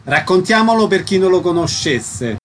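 A man's voice: high voice, sing-song, moderate pace, soft background music. A man presenting a radio programme with scripted introductions and short interview questions.

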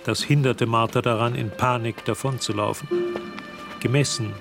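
A man's measured narrating voice in a radio play, performed by an actor. It sits at a middle pitch and tells a mystery tale, with music clearly playing behind it. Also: steady tone, lightly acted. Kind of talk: scripted radio drama narration.

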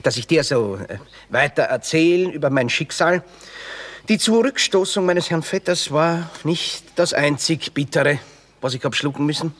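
Sardonic, florid young Austrian drifter. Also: male voice, a little high, lively intonation, moderate pace, a bit bright. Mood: self-pitying, theatrical. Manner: florid, pompous wordplay with dry asides. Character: witty, bitter red-haired young drifter, grandiloquent and sardonic. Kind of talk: classic Viennese stage comedy radio acting.

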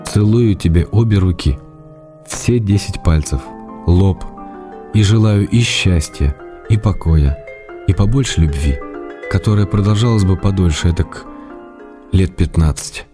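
An actor playing a middle-aged Russian writer, tender and wry, reading love letters aloud in a radio play. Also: male voice, low-pitched. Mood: tender, earnest. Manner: affectionate, gently teasing, measured. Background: music.

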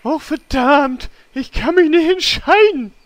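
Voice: sits high, animated, slow pace, no room sound. A performer voicing a dim, hapless male warrior hero who talks in a hesitant, dopey way. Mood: flustered. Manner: hesitant, dopey, with filler sounds.

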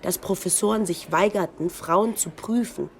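A woman narrating a radio documentary in a calm, reflective way. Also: medium pitch, moderate pace, gravelly, slight background noise.

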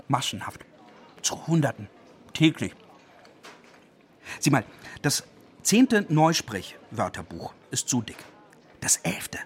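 An actor in a radio play as a zealous, pedantic dictionary compiler who speaks with gloating enthusiasm. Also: male voice, mid-range voice, slightly rough, full character voice. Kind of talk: radio play dialogue acting. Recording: faint background noise.

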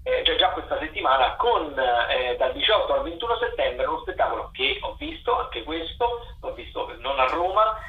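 A man's voice: on the high side, expressive, moderate pace, few pauses. Guy theatre critic on radio, hesitant rundown, echoey and a bit far from mic, dull sound. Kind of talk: informal live spoken listings rundown. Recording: dull, echoey distant line, faint noise.

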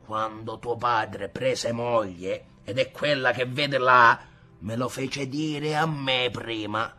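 A man's voice: mid-range voice, slow pace, pushed and bright. A man voicing a stern, weary old grandfather who scolds his grandson in a storybook reading. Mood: scolding.

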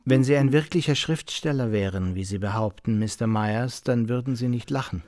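An actor playing a stern, pompous husband in a radio play, speaking with formal, reproachful indignation. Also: male voice, medium pitch, normal speed, darkish tone, close to an everyday voice. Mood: indignant, accusing. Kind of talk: German radio play acting.

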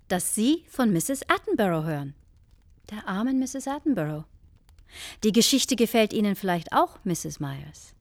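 A woman's voice: mid-range voice, no echo. A sarcastic, insistent hostess in a radio play. She speaks with theatrical, pointed courtesy and a melody that rises and falls widely. The recording is close and dry. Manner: pointed, mock-polite, theatrical. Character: brittle, sarcastic wife and insistent hostess telling anecdotes. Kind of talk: German radio play acting.

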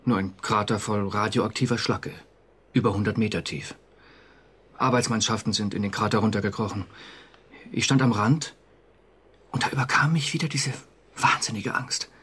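An actor in a German radio drama playing an anxious, conscientious civil servant, confessional and agitated. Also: male voice, on the low side, normal speed, pauses often, fairly bright tone, breathy edge. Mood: haunted, confessing.

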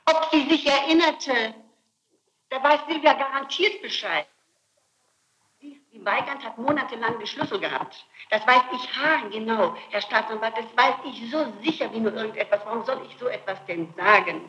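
A middle-aged woman answering questions in an interrogation, insistent and flatly contradicting, on an archival tape recording. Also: a little high, moderate pace, roomy sound, a little hiss or hum, poor audio. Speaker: suspect under interrogation.

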